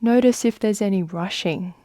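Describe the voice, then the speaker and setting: A woman leading a guided meditation in a soft, soothing manner, with long unhurried pauses. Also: mid-range voice, no echo, clean studio sound. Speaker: yoga nidra guide.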